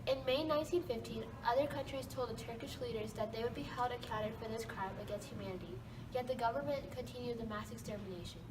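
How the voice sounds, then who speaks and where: Young female correspondent, highish voice, scripted delivery, away from the mic amid clear noise. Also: fast talker, distant mic. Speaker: student correspondent. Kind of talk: scripted news report.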